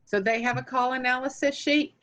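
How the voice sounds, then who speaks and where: A woman's voice: high voice, fairly even intonation, brisk, fairly bright tone. Woman asking direct questions. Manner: direct, asks plain questions. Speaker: guest presenter. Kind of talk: casual online meeting chat.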